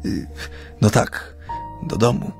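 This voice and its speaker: A man's voice: a little mellow, faint music behind, natural voice. An actor playing an ailing, middle-aged Japanese man settled in Poland, courteous and reflective, speaking hesitantly in a radio drama. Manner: halting, hesitant, searching for words. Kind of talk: naturalistic radio drama acting.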